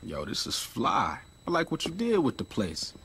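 An actor playing a cocky, defiant young street hustler in a hip-hop video game, slangy and swaggering. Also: male voice, medium pitch, wildly swooping pitch, moderate pace, gravelly, a bit bright, slight background noise, heavily acted. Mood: defiant, cool.

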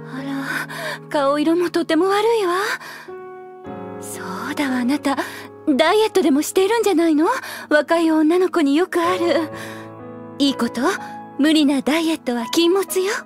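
A woman playing a genteel, motherly school nun in an anime audio drama, polite and gently admonishing. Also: sits high, normal speed, over music, close to an everyday voice. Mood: concerned.

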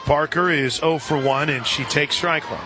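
A man doing live radio play-by-play of softball in a conversational way that can burst into excited calls. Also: fairly high pitch, normal speed, noisy background. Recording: crowd and music behind broadcast. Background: stadium crowd, music.

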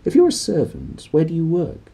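A man reading a storybook, voicing a plump elderly clergyman who is kindly, genteel and gently probing. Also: a little high, wildly swooping pitch, moderate pace, vocal fry, breathy edge, pitched above the performer's own voice, exaggerated voice. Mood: curious, questioning. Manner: genteel, paternal, questioning.

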